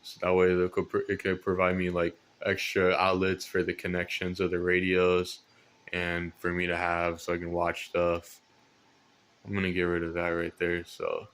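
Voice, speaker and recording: Young guy doing a casual vlog showing his stuff, kinda low voice, hesitant, rambles and repeats phrases. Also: completely flat delivery, normal speed, fairly bright tone, clean tone. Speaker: collector presenting weather radios. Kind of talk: casual show-and-tell vlog. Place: indoors at home at night.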